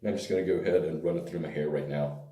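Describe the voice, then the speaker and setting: A man with a hoarse, worn-out voice, casually reviewing a hair product in a relaxed, rambling way with hesitant fillers. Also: rumbling low, very fast. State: hoarse, lost voice. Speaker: pomade reviewer. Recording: echoey room, mic at a distance.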